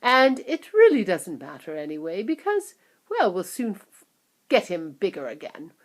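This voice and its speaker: A woman's voice: fairly low pitch, huge pitch swings, normal speed. A woman voicing an eccentric, cheerful older man who makes candy, talking in a breezy, whimsical way.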